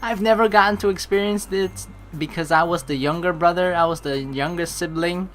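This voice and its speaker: A woman narrating a game playthrough, with animated, jokey asides and some swearing. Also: lowish voice, moderate pace, poor audio. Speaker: let's play streamer. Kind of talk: playthrough narration with casual reactions. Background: background game music.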